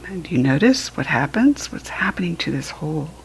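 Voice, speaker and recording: A woman's voice: deep voice, big pitch swings, normal speed, slightly rough, low-quality recording. An American woman reading a picture book aloud to young children, with simple, instructive asides. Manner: acts out animal sounds while reading.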